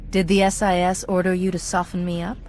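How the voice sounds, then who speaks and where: Female game hero, a commander, played by a woman: lowish pitch, cool, resolute and direct. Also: little pitch movement, brisk, darkish tone, close to an everyday voice. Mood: resolute, dry. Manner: direct, composed. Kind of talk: video game cutscene acting.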